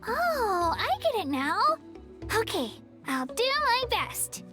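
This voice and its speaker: Game companion role: little girl, extremely high voice, kind-hearted and innocent, music underneath. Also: sing-song, takes it slowly, bright, forceful tone, exaggerated voice. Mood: eager. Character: small earnest childlike girl companion, kind and naive. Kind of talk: video game voice acting.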